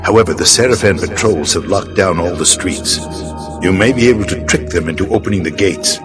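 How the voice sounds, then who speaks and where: An actor voicing a grave elder vampire guide in a video game, giving counsel in a solemn, measured manner. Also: male voice, deep voice, lightly acted. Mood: grave, advising. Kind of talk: theatrical video game voice acting. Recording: loud music behind voice.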